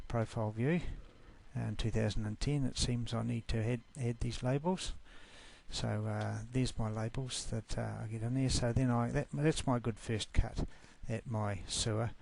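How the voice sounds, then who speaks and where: A man from New Zealand with a New Zealand accent, giving an easygoing, informal screencast walkthrough of a software class. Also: on the low side, gravelly.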